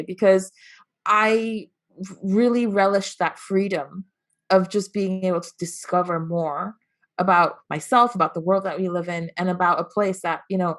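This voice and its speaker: Young woman, american accent, chatty guest who laughs a lot and trips over her starts. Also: fairly low pitch. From Taiwan. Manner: chatty, laughing, many fillers and restarts. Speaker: guest, entrepreneur. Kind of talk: casual interview chat.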